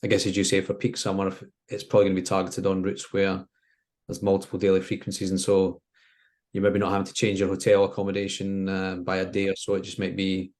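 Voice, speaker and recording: A man with a fairly low voice and a flat, even intonation. He talks casually as an expert co-host, measured and a little tentative in how he puts his points. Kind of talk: casual discussion.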